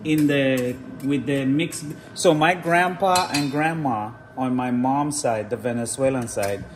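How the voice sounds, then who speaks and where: A man's voice: medium pitch, measured pace, background noise. A man hosting a casual vlog, explaining a recipe and often checking in with short tag questions. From Trinidad. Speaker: vlog host. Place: home kitchen.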